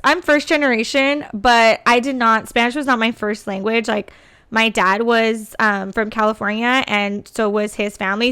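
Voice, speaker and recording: A woman's voice: fairly high pitch, fairly bright tone, clean tone. A young adult woman chatting casually about her test experience, often saying "girl" and "like". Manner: chatty, says "girl" and "like" a lot. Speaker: teacher candidate sharing test experience.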